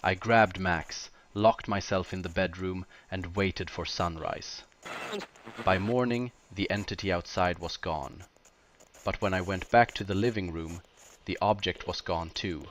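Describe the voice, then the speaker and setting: A man delivers scripted first-person horror narration in deliberate short phrases with dramatic pauses. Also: deep voice, slight background noise.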